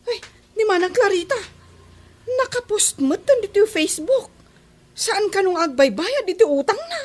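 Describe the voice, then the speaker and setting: A woman's voice: very high-pitched, expressive, moderate pace. A woman with an Ilocano accent playing a fretful, thrifty younger sister who fusses and exclaims in a comedy radio drama. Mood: agitated. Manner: exclamatory, fussing.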